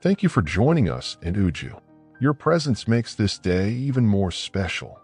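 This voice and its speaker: A man's voice: fairly low pitch, very animated, normal speed, breathy edge, soft background music, exaggerated voice. A man voicing an earnest young bridegroom in a folktale. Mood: pleased. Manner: earnest, hushed when doubtful. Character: young bridegroom, admiring, later quietly suspicious.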